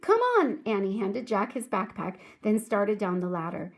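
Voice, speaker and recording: A woman reading aloud to children like a teacher, speaking clearly and deliberately in a storybook narration style. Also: mid-range voice, steady tone. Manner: clear, deliberate, addresses young listeners.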